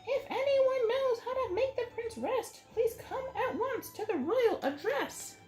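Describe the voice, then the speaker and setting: An exasperated king from a children's storybook as a woman reader plays him, pitched high and proclaiming with emphasis. The delivery swings widely, with a roomy echo around it. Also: expressive, unhurried, a little mellow, soft background music. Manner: emphatic, declaiming. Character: exasperated king issuing loud royal proclamations.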